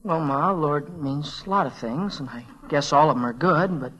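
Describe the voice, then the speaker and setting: A man's voice: a little high, normal speed, a bit bright, lightly acted, rough recording. An actor playing an earnest, polite teenage messenger boy who stammers and hesitates, in an old radio drama. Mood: groping, earnest. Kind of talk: 1940s-50s radio drama acting.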